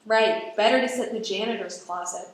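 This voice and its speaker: A woman's voice: mid-range voice, a little fast, lightly acted. A young woman acting an earnest, dryly witty student and big sister in an amateur radio play. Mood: awkward, dry. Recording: very echoey room.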